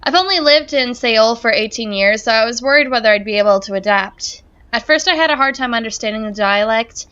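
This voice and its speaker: A woman's voice: fairly high pitch, pushed and bright, muffled recording. A woman reading as a wistful college girl. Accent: Southern American. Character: young woman college senior, lonely, searching for her dream. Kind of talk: reading game text aloud.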